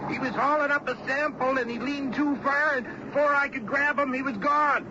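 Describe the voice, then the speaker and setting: A man's voice: very high voice, fairly even intonation, moderate pace. An actor in an old-time radio drama playing an agitated, defensive boatman giving a false report. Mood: agitated, evasive. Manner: worked up, defensive. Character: agitated man lying over a boat radio. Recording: muffled old radio drama, boat noise behind.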